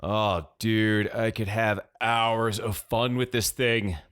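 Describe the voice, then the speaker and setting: A man's voice: fairly low pitch, level tone, takes it slowly, fairly bright tone, smooth, voice pushed up. Man playing Samir, a mischievous young American prankster. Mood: delighted. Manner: casual, sweary, teasing.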